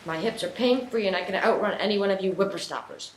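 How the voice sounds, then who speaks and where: A woman's voice: mid-range voice, fast talker, lightly acted. A woman playing a spry, feisty old granny in a children's radio play. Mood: feisty, grumbling. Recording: echoey room, faint noise.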